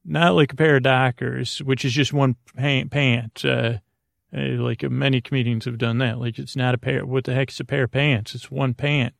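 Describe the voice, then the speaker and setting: Male sleep-podcast host: gravelly creak, dry close sound, soothing and rambling, halting delivery full of tangents. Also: medium pitch, level tone, no room sound. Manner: meandering, halting, trails off into tangents.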